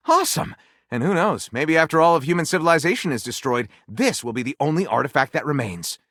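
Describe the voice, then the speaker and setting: A theatrical video game storyteller with a highish, widely swooping voice. He narrates in a mock-dramatic, literary way and adds eager comic commentary. The sound is dry and close. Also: a bit bright, no room sound, full character voice. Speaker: video game character voice actor.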